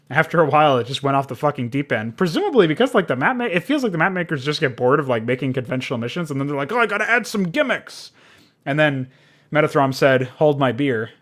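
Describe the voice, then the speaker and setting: A man's voice: medium pitch, fairly bright tone. A man giving a casual, unscripted game review, rambling and informal, with frequent swearing.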